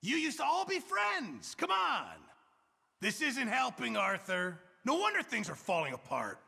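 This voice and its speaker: An actor playing a gruff, fretful middle-aged camp cook in a Western video game, exasperated and husky. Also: male voice, very high-pitched, a little slow, big-room sound, exaggerated voice. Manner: exasperated, excitable, swinging from mutters to shouts. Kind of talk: video game ambient character barks.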